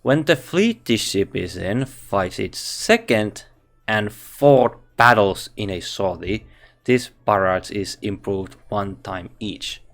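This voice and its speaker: A man with a Finnish accent reviewing a game character, thinking aloud in halting English with frequent restarts. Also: lowish voice, animated, vocal fry, fairly bright tone. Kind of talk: casual game commentary.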